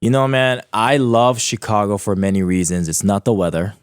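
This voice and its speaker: Low-voiced young man, upbeat and chuckling. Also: fairly even intonation, normal speed, clean tone, no echo, studio quality. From born in South Korea, raised in Japan. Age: young adult. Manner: upbeat, chuckling, self-deprecating. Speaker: youth pastor guest. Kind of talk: casual interview chat.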